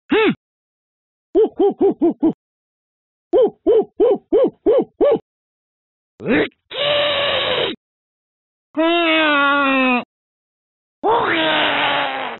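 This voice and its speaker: A savage, gleeful little monster shrieks at an extremely high pitch that leaps about wildly. It has no words to spare, only squealed yelps, grunts and cackles. It comes as thin, phone-like video game clips. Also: lively intonation, extremely slow, creaky, pauses often, no room sound, exaggerated voice. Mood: wild, aggressive. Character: small, savage, gleeful pink demon monster.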